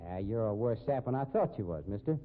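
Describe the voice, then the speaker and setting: An actor playing a gloating, bullying rodeo gambler in a 1950s radio drama, with American accent and rough slang. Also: male voice, fairly low pitch, lively intonation, fast, narrow, tinny audio, close to an everyday voice. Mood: rattled, doubting. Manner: taunting, rough ungrammatical slang. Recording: thin, noisy vintage radio recording.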